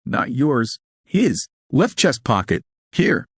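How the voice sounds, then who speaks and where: A man's voice: mid-range voice, big pitch swings, takes it slowly, slightly rough, no room sound, studio quality, pitched above the performer's own voice, exaggerated voice. A man voicing a blunt, demanding but polite young man in an audio story. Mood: urgent. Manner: direct, insistent.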